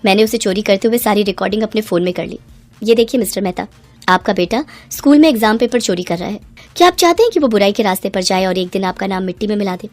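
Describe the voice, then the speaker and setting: A woman voices a firm, principled schoolteacher in a cartoon story. She speaks earnestly and reprovingly at a middle pitch, with music underneath. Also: fast talker, close to an everyday voice. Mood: stern, reproving.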